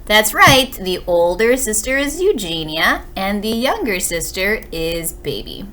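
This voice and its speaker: A woman's voice: mid-range voice, big pitch swings, a little slow, a bit bright, slight background noise. A woman, a second grade teacher, reading a storybook aloud expressively and explaining it for young listeners. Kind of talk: expressive children's read-aloud.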